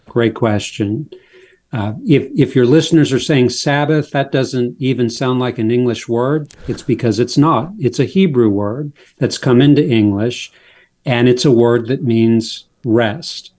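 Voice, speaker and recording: Measured man explaining faith. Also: medium pitch, darkish tone. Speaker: pastor and author, guest. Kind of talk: interview on faith.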